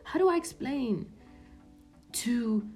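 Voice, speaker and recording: A woman's voice: fairly high pitch, expressive, slow, a little mellow, soft background music. A woman in her thirties hosting a podcast, speaking in an earnest, reflective monologue that often sinks to a near-whisper. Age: 30s. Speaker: podcast host.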